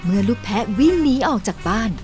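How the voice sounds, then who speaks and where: A woman's voice: a little high, lively intonation, quick pace, a little mellow, soft background music. A woman reading scripted fairy tale narration for children.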